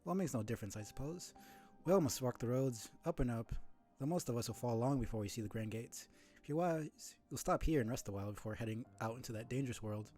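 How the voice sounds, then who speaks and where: A man's voice: mid-range voice, expressive, fast talker, mellow, faint music behind, natural voice. A man voicing a weary, devout bug pilgrim from a video game, solemn and welcoming in old-fashioned phrasing. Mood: gentle, solemn. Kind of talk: reading game dialogue aloud.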